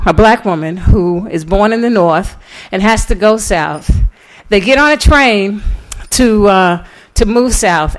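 A woman giving an informal lecture, telling stories in a halting way with deliberate emphasis. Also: medium pitch. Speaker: lecturer and author.

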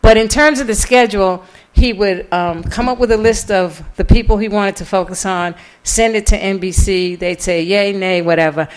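Woman lecturer, emphatic and deliberate. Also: medium pitch, clear, resonant tone, poor audio. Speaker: author giving a public lecture. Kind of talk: lecture with audience questions. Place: public lecture event, live before an audience.

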